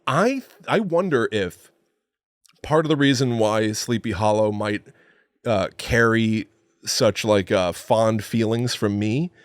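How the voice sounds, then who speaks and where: A man's voice: lowish voice, slow pace, no room sound, studio quality. A man with an American accent co-hosting a podcast, chatting casually about film in an enthusiastic, emphatic way. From New England, United States. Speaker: podcast co-host.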